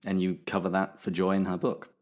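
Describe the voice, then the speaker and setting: A man hosting a podcast, asking relaxed, encouraging questions in casual chat. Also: low-pitched, brisk. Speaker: podcast host.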